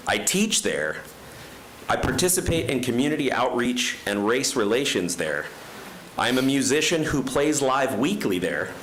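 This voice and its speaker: A man's voice: medium pitch, a bit bright, slight background noise, rough recording. A man giving an earnest, prepared public comment at a county meeting. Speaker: resident speaking at public comment. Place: county commission chamber.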